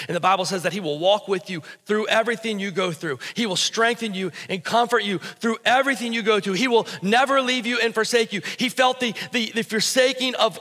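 A man's voice: sits high, bright, forceful tone. An American man in his thirties preaching a sermon as pastor, emphatic yet conversational, repeating phrases to drive them home. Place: stage of a church auditorium, indoors. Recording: reverberant hall sound.

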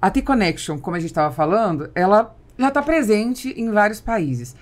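A Brazilian woman in her forties hosts a podcast interview. Her voice sits at an average pitch, and she talks conversationally into a close studio mic in a small treated room. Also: expressive, moderate pace. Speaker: podcast host. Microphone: studio microphone on boom arm.

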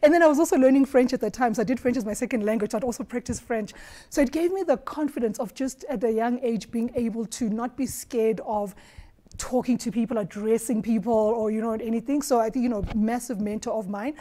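Animated woman with a warm low-bright tone. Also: fairly quick. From Eswatini. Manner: animated storyteller, laughs often. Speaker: marketing executive panelist. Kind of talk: roundtable discussion. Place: dark studio set at a round table. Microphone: lapel mic.

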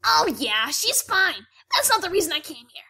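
A woman voicing a cocky, blunt young female pegasus pony in an animated radio play. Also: very high voice, moderate pace, full character voice. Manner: boastful, blunt, exasperated.

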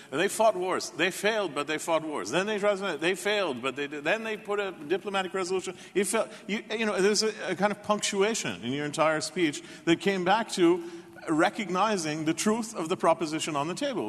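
Male debate panelist, moderately high pitch, emphatic rhetorical style, long hall reverb. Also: fairly bright tone. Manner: emphatic, asks and answers own questions. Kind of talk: rhetorical live debate argument.

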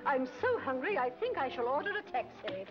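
An actress playing an excitable, genteel middle-aged society lady in a 1940s comedy film. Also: sits high, brisk. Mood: indignant. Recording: dull old film soundtrack with music.

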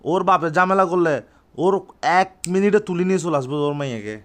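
A man's voice: high-pitched, brisk. A young Bangladeshi man with a Chittagong accent talking informally on a prank phone call, repeating himself and boasting. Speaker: prank caller playing an older brother.

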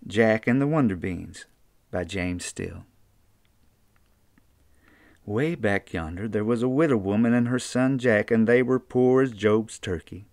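An older man with an Appalachian accent, reading a children's storybook aloud in an unhurried, folksy way. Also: on the low side, a little mellow, no echo. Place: small book-lined room indoors.

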